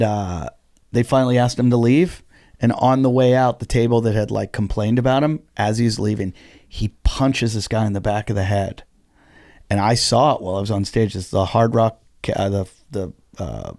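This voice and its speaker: A middle-aged man, a stand-up comedian, telling stories in a casual podcast chat, animated and swearing freely. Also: medium pitch. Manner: animated storytelling, heavy swearing.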